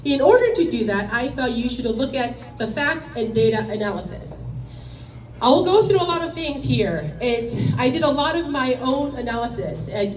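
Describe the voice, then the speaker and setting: A middle-aged woman with a Korean accent speaks loudly and directly to a gathered audience, her voice sitting a little high. She is picked up from across an echoey meeting room, with a faint hiss of noise underneath. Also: expressive, normal speed, far from the mic. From South Korea. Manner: loud, emphatic, direct and plain-spoken. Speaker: guest speaker. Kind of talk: public presentation to a meeting.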